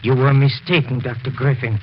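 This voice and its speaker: Male actor for grieving, obsessed husband in vintage radio chiller; unhurried, halting, insistent; muffled recording with surface noise. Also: medium pitch, steady tone, natural voice. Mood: defensive. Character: grieving, obsessed widower haunted by his dead wife. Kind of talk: 1940s radio horror acting. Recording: dull, hissy 1940s radio broadcast recording.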